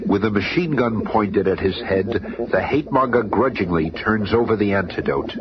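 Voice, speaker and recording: A male narrator drives the story in a dramatic, announcer-style delivery with lively swings in pitch. The 1970s radio recording sounds dulled, with clear hiss underneath. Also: moderate pace, lo-fi sound.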